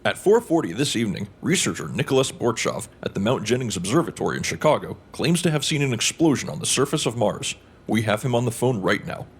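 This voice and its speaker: An earnest male radio host, played by an actor in an audio drama. His pitch moves in a lively way and his voice is a little husky. He chats through the news with curiosity. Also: quick pace, hardly any pauses. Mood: newsy.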